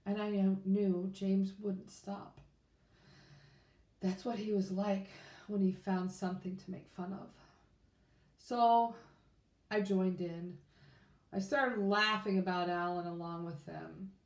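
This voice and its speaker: A woman reading a children's storybook aloud in an expressive, informal way. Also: mid-range voice. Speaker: children's book reader. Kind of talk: read-aloud storybook narration. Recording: echoey room.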